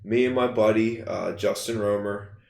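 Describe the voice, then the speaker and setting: Gravelly low-voiced guy. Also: fairly even intonation, normal speed, darkish tone. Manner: laid-back, rambling, swears a lot. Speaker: rapper guest. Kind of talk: casual podcast chat. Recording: echoey room.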